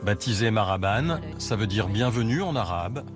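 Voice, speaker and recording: A man reading scripted documentary narration in a measured voice. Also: fairly low pitch, lively intonation. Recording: voice-over with loud music behind.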